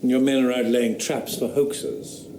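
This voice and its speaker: An actor playing a witty, skeptical eighteenth-century philosopher, speaking with dry, precise period diction. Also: male voice, mid-range voice, level tone, unhurried, warm tone, echoey room, a little hiss or hum, close to an everyday voice. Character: wry skeptical male philosopher, urbane, rational. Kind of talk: radio drama acting.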